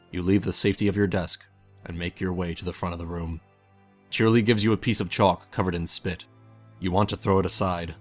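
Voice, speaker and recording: A man with a low voice reads a story as its narrator. He delivers scripted second-person prose, and soft music plays behind him. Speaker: fan fiction narrator.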